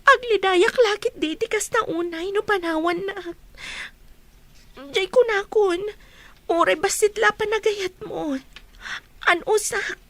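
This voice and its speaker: Very high-voiced, clingy scheming young woman played by an actress. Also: a little slow, pauses often, fairly bright tone, heavily acted. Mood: pleading, tearful. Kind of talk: Ilocano radio drama acting.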